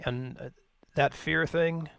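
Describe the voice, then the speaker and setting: A man's voice: on the high side, steady tone, takes it slowly, gravelly, a bit bright, clear, resonant tone, no echo. An American man co-hosting a radio show in a laid-back, colloquial, conversational way. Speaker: co-host and musician. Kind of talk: casual supportive co-host chat.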